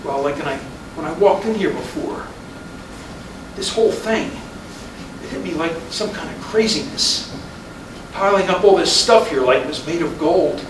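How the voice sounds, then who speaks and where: Stage drama: regretful husband, fifties, acted by a man, slightly high voice, brooding and halting, roomy echo. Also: lightly acted. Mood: troubled, reflective. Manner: halting, repeating words, brooding. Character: weary middle-aged husband, fifty-five, regretful and stubborn. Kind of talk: staged reading of a stage play. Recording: echoey room from far off, noisy.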